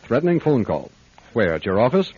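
An actor playing a matter-of-fact, wry private investigator in a radio mystery drama. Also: male voice, mid-range voice, big pitch swings, a little fast, a little mellow, a little hiss or hum, lightly acted. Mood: calm questioning. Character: dry, methodical male private investigator telling his case. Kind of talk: radio mystery acting, first-person detective narration. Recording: dull lo-fi radio recording, faint music.

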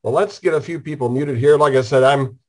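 A man's voice: medium pitch, brisk, a little mellow. A man hosting a casual online talk show while stuffed up with a cold, joking and rambling through his stories. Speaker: host.

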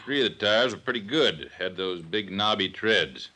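An actor playing a folksy, sharp-eyed resort man and tracker in a 1950s radio drama, speaking in clipped, plain phrases. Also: fairly low pitch, animated, moderate pace. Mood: sure, explaining. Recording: noisy vintage radio recording.

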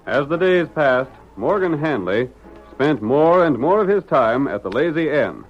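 A man's voice: a little high, very animated, unhurried. A man narrating a Western radio drama in a dramatic, scripted old-time style. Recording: dull vintage radio recording with music.

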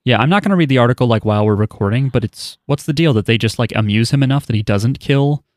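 A man's voice: mid-range voice, fast, darkish tone, no echo, studio quality. A man hosting a podcast, chatting casually and reading out listener email with offhand, wry asides. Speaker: podcast host.

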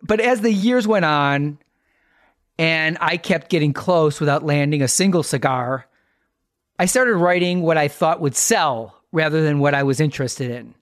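Higher-pitched American man telling stories. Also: moderate pace, dry recording, clean studio sound. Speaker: podcast host. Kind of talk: conversational storytelling.

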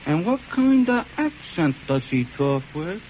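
An actor playing an excitable American hoodlum sidekick with streetwise slang in a 1940s radio drama. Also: male voice, fairly high pitch, a little slow. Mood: suspicious. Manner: slangy, streetwise gangster talk. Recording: dull, hissy old radio recording.

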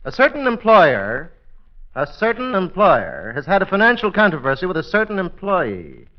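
Pompous, animated comic judge. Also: male voice, high voice, normal speed, slight background noise. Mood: deliberate, puzzled. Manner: pompous, hesitant, formal wordy phrasing. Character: pompous, bumbling male judge of a comic court. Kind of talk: 1940s radio comedy acting. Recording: muffled old radio recording.

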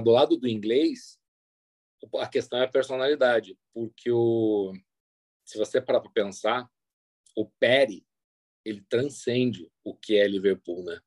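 A Brazilian man tells stories in a casual, slangy way. His pitch sits in the middle of the male range, and the sound is dry, with very little room around it. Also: dry recording. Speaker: sports interpreter and guest. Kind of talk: casual, anecdotal interview chat.

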